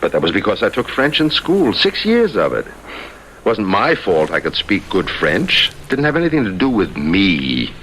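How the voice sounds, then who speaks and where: An actor playing a weary, defensive husband in a 1970s radio drama, speaking in a plain, halting, conversational way. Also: male voice, lowish voice, huge pitch swings, a bit bright. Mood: wry, defensive. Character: weary, defensive husband who left his polished wife. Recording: noisy old radio broadcast recording.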